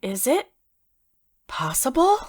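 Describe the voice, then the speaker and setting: Woman doing a serious rabbit prosecutor, kinda husky, higher voice, faint music in the back. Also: huge pitch swings, exaggerated voice. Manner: formal, measured courtroom speech.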